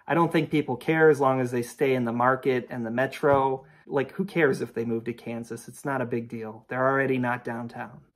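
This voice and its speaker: A man's voice: medium pitch, steady tone, a little fast, few pauses. A young man in his twenties giving blunt, opinionated sports commentary to the camera from a room at home. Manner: blunt, dismissive, rhetorical questions. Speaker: sports video host.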